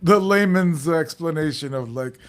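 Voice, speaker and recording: A man's voice: a little high, moderate pace. A middle-aged Mexican American man co-hosting a community talk show, speaking earnestly with many restarts and fillers. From United States. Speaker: podcast co-host.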